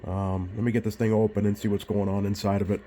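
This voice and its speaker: A man with a mellow, fairly low voice explains repair work in a casual, unscripted way. He takes his time and hesitates as he thinks aloud, with some background noise in the recording. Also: level tone, fast. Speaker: radio repair technician hosting a bench video. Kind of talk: casual off-the-cuff repair narration.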